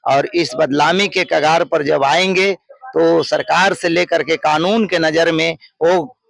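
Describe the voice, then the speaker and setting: Male local interviewee, creaky higher voice, emphatic and repetitive opinion-giving. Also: steady tone, takes it slowly, fairly bright tone.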